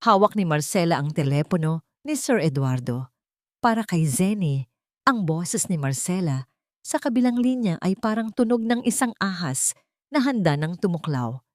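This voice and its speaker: Female storyteller, lowish register, lively swings in intonation, suspenseful dramatic narration in a dry booth sound. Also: normal speed, no room sound.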